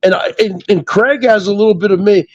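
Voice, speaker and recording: Brash high-voiced profane man. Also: brisk, dry recording, dull audio. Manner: brash, profane, mocking, does mimicking voices. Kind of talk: crude casual banter.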